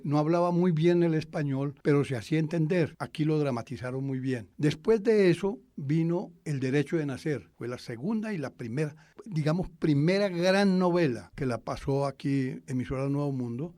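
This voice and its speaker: A man's voice: a little high, dark tone, no room sound. An older man with a Colombian accent, explaining and reminiscing as an expert in an interview.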